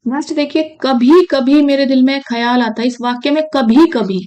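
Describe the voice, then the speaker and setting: A woman from India teaches an exam-prep grammar lesson, her voice sitting a little high. She repeats each key phrase and keeps checking in with her viewers as she works through the answers. She speaks close to the mic in a solo teaching video. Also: moderate pace, darkish tone, lo-fi sound.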